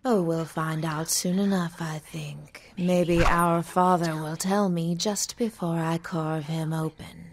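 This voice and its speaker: An actress playing a smug, treacherous vampire villainess in a video game, speaking with breathy menace. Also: female voice, lowish voice, fairly even intonation, slow pace, hardly any pauses. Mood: smug, menacing.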